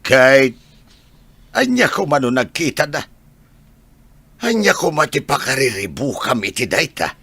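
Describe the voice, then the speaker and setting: A man playing a blunt, exasperated old husband with a husky, gravelly voice in an audio drama. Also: mid-range voice, big pitch swings, a little slow, pauses often, fairly bright tone, no room sound, exaggerated voice. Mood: irritated. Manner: grumbling, blunt. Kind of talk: Ilocano audio drama acting.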